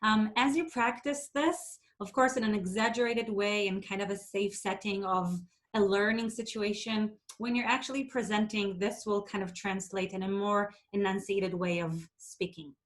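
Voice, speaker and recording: A woman from Israel whose first language is Hebrew, coaching public speaking in a clear, deliberate way and sometimes exaggerating words to demonstrate. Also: medium pitch, fairly even intonation. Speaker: presentation skills coach. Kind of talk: instructional talk.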